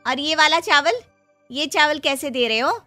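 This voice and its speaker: A woman's voice: sits high, animated, unhurried, bright, forceful tone, soft background music, voice pushed up, full character voice. A woman playing a thrifty, stubborn young housewife in a cartoon moral story, protesting in an exaggerated, naive way. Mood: breezy.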